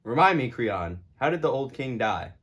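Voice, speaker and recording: A man's voice: mid-range voice, sing-song, normal speed, fairly bright tone, slightly rough. A man playing a hot-headed, sarcastic king in a casual amateur puppet show. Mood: commanding.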